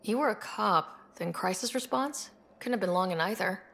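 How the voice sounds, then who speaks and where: A woman playing a thoughtful, composed space expedition leader in a video game, speaking in a measured, conversational, gently probing way. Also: medium pitch, gravelly. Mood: curious. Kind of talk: video game cutscene dialogue.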